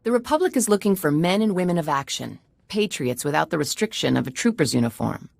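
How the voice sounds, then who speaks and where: Authoritative female politician, game character, very low pitch, shrewd, formal, commanding. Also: very animated, fast, creaky, no echo, clean studio sound, exaggerated voice. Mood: formal, official. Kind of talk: video game acting.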